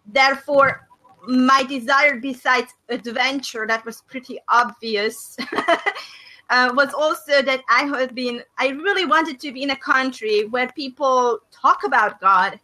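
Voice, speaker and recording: A middle-aged woman from Hungary with a Hungarian accent, hosting a faith and business video call and speaking thoughtfully with many pauses and fillers. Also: sits high, pushed and bright, low-quality recording. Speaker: host of a faith and business discussion. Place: small room indoors. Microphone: webcam on a video call.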